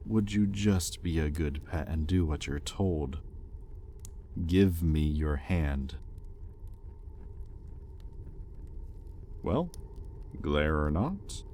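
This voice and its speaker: A man's voice: a bass voice, slight background noise, exaggerated voice. A man playing a bitter, conflicted demon in a roleplay audio drama, speaking to his captive with brooding intensity. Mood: stern, probing. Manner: brooding, intense, swinging between hushed confession and heated accusation. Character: bitter, conflicted male demon, possessive owner of a captive angel. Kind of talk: scripted roleplay audio acting, addressed to the listener.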